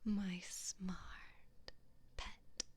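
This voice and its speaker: Female performer as cruel evil queen for ASMR roleplay: breathy whisper, extremely slow delivery, condescending and possessive. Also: medium pitch, level tone, a little mellow. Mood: smug, controlling. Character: cruel, mocking evil queen toying with her captive.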